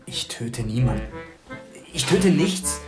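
An actor playing a frightened, unsure young murder suspect in a radio crime drama, anxious and emotional. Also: male voice, medium pitch, expressive, slow pace, loud music behind the voice. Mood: desperate protest.

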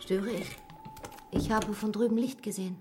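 A woman's voice: medium pitch, steady tone, normal speed, heavy background noise, lightly acted. An actress playing an intense, inquisitive noblewoman in a radio drama. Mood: soft, intimate. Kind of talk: German radio drama acting.

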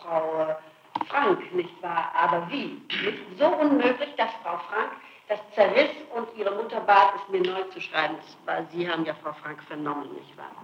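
A middle-aged woman answering questions in an interrogation, insistent and flatly contradicting, on an archival tape recording. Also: fairly low pitch, normal speed, reverberant, dull audio, slight background noise. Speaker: suspect under interrogation.

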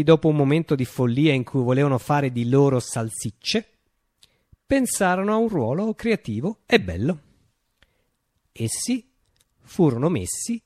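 A man's voice: a little high, darkish tone, no echo, studio quality. Man playing a declamatory, swooping revolutionary visionary. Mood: playful, delighted. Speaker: actor performing a radio play. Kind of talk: theatrical radio monologue.

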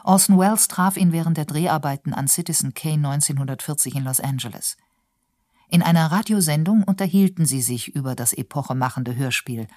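Low-voiced woman narrating, dry studio sound. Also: fairly quick, a little mellow, no echo, soft background music. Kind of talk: scripted radio documentary narration.

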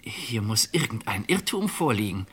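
A man's voice: fairly low pitch, sing-song, moderate pace, breathy edge, exaggerated voice. A man playing a cornered but composed office worker in a radio crime play, controlled, formal and courteous. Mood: guarded, denying.

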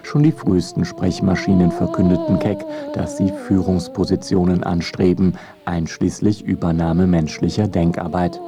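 A man's voice: sits low, moderate pace, few pauses, over music. A man narrating a scripted radio feature in a wry documentary style.

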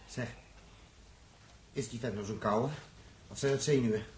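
A man's voice: medium pitch, unhurried, a little mellow, close to an everyday voice. An actor playing a gloomy, sceptical male crewman, muttering sarcastically in a sixties science fiction radio drama. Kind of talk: 1960s radio drama acting. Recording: old radio recording, faint noise.

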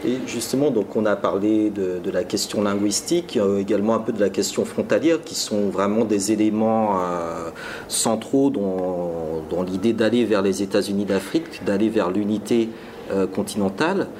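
Interview host: man, low voice, measured and hesitant delivery, faint background noise. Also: darkish tone. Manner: measured, with many hesitation fillers.

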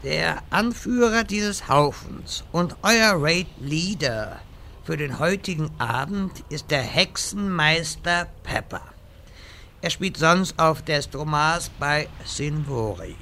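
A man acts out a secretive, theatrical quest-giver in a gaming parody radio play. He is bright and forceful with a gravelly edge, taking his time over ceremonious phrases. There is faint noise behind him. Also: on the high side, expressive, takes it slowly, full character voice. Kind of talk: comedic audio drama parody acting.

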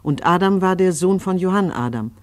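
A man playing a solemn chronicler, reciting a family history in measured scriptural style for a radio play. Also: sits high, expressive, dry recording.

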